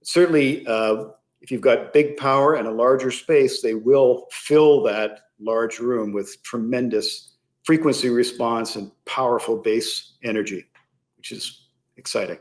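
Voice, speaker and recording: A man speaking as an interview guest, explaining a product in a measured way with pauses mid-sentence. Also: medium pitch. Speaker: interview guest, loudspeaker company sales executive.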